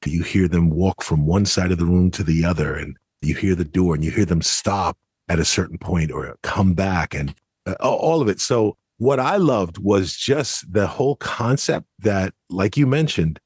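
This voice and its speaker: A man's voice: low-pitched, moderate pace, a little mellow, husky, dry recording, clean studio sound. A man co-hosting a podcast, giving a casual but articulate review with emphatic, explanatory phrasing. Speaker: podcast co-host.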